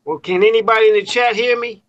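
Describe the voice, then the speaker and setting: A man's voice: high-pitched, steady tone, fast, fairly bright tone, muffled recording. A man joking around as a guest on an online call, laughing between his lines. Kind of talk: casual chat. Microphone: online call audio.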